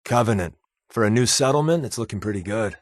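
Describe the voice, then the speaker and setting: An actor playing a sardonic, joking spy companion in a wasteland video game, with a dry, deadpan delivery. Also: male voice, lowish voice, quick pace, no room sound. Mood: musing.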